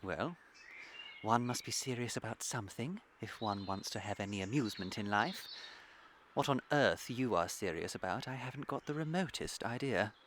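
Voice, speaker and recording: A man's voice: fairly low pitch, animated, moderate pace, fairly bright tone, slight background noise. A man with a British accent playing a witty, unrepentant young Victorian dandy in a radio comedy, with a flippant, theatrical manner. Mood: breezy, teasing. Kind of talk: scripted radio comedy acting.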